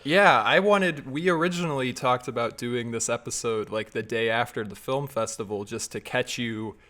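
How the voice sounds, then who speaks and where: A man's voice: mid-range voice, moderate pace, sharp tone. A man in his twenties hosting a casual podcast chat over a video call, laughing often and tripping over his words. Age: 20s. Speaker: podcast host.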